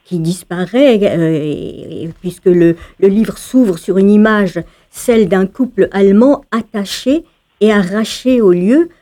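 Mellow-voiced woman interviewer. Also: mid-range voice, measured pace. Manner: long winding questions with frequent fillers. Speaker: radio interviewer. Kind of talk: radio interview questions.

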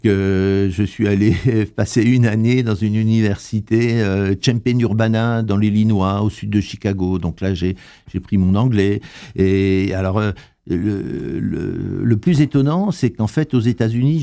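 An older man talks through his past in an interview, stopping often to search for words. His voice is low and mellow, with a gravelly edge. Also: fairly even intonation. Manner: hesitant, full of pauses and fillers. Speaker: interviewee, translator. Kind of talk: conversational interview answers.

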